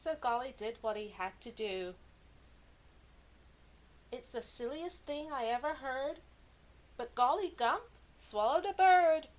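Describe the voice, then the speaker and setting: A woman reading a children's story aloud with animated, deliberate, dramatic expression. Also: sits high. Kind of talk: expressive picture-book narration for kids.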